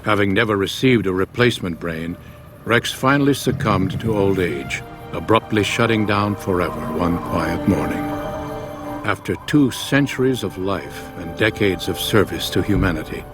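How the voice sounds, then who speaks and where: An actor as a solemn storyteller in a game epilogue. The voice sits very low and moves deliberately, with music clearly behind it. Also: male voice, natural voice. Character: solemn low-voiced male teller of an old companion's end. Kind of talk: scripted game ending narration.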